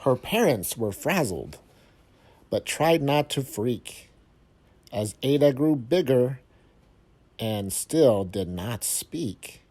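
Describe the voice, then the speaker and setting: A man with a soft, mellow tone reads a rhyming children's book aloud. He takes his time, leaving pauses and stressing key words for young listeners. His voice sits at a middling male pitch. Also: sing-song. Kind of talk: expressive children's read-aloud of rhyming verse.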